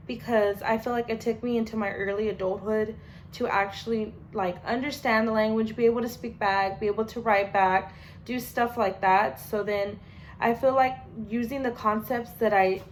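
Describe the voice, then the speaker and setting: A woman's voice: a little high, a little hiss or hum. A young adult woman, a language student, gives a casual spoken class presentation in a chatty way, full of fillers and restarts.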